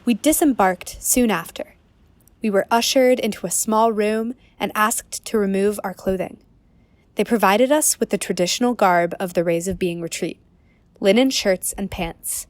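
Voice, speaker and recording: Woman acting an earnest undercover reporter, medium pitch. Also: normal speed, no echo, lightly acted. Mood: grave, intriguing. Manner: probing, serious. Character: earnest, suspicious undercover female investigative reporter. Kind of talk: documentary-style scripted narration in radio play.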